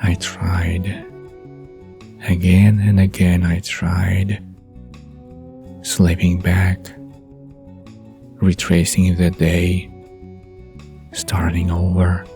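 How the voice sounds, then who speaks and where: A man playing a wistful, dreamy man recalling the past in a soft, murmuring bedtime drama monologue. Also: sits low, monotone, drawn-out words, creaky, pauses often, faint music behind, heavily acted. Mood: dreamy, haunted.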